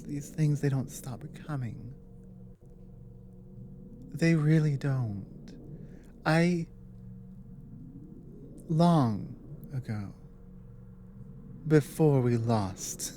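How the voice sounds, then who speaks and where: A woman voicing a grave, war-weary female dragon in an ASMR roleplay, speaking earnestly and haltingly with dramatic pauses. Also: sits low, dark tone, slight background noise.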